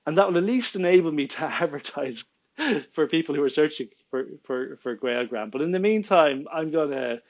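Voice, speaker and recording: A man's voice: fairly high pitch, animated, moderate pace, lo-fi sound. Exasperated Irish man ranting to camera. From Ireland. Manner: exasperated, restarts often, laughs in disbelief, gestures with hands. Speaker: vlogger and small business owner. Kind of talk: indignant direct-to-camera rant. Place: small room indoors at home.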